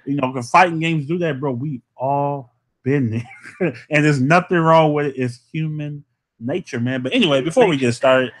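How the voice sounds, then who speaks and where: A man hosting a casual podcast, talking loosely and ending nearly every phrase with "man" as he shouts out players. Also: on the high side, moderate pace. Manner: laid-back, says "man" constantly, shouting out players. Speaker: podcast host.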